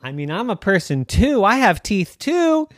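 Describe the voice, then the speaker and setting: A parent storyteller voicing a silly, greedy dad who has false teeth, in an excitable, hammy manner. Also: medium pitch, moderate pace, no echo, studio quality, voice pushed up, natural voice. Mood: scheming.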